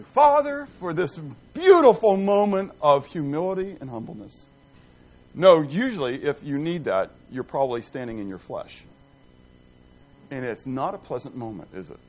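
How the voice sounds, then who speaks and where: A middle-aged man teaching a Bible class in a rambling, self-deprecating, animated way, quizzing and joking with his group. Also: medium pitch, a little hiss or hum, rough recording.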